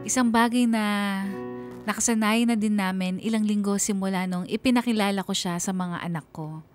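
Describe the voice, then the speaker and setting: A woman's voice: mid-range voice, normal speed, darkish tone, natural voice. Heartfelt mother narrator over music, played by a woman. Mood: confiding. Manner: heartfelt, confiding. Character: earnest, anxious mother telling her own story. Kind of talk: first-person radio drama narration. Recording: music bed behind the voice.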